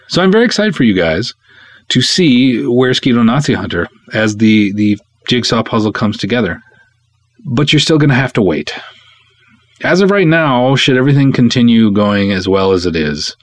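A man's voice: lowish voice, no room sound. A man hosting a podcast as a film director, talking casually and reflectively with emphatic, conversational phrasing. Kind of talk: casual solo podcast talk.

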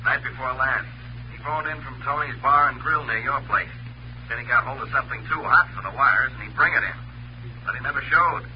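A man acts a gruff, sardonic male editor from a vintage radio newspaper drama. He sounds hard-boiled and dry-witted. The recording is dull, with a light hum. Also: medium pitch, fairly quick, close to an everyday voice. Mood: grudgingly friendly. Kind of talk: 1940s radio drama acting. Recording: muffled, humming old radio, telephone sound.

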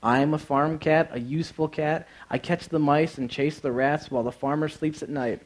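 A man's voice: a little high, fairly even intonation, moderate pace, close to an everyday voice. A man voicing a proud, caring mother farm cat in a children's storybook, speaking in declarations.

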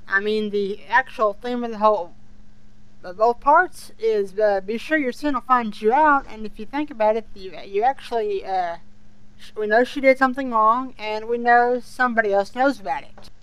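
A man's voice: high voice, moderate pace, a bit bright, clear, resonant tone, poor audio. A young man co-hosting a casual review discussion, speaking in a hesitant, rambling way. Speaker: podcast co-host reviewing an audio drama.

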